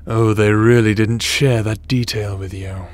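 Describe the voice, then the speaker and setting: A man playing a smug, ruthless crime boss and sci-fi villain in an audio roleplay, taunting and theatrical in a deliberate delivery. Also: lowish voice, a little mellow.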